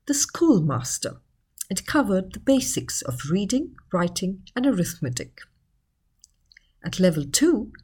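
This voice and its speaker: A woman reading a scripted educational article in a measured, explanatory manner. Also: medium pitch, darkish tone, husky. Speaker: article narrator.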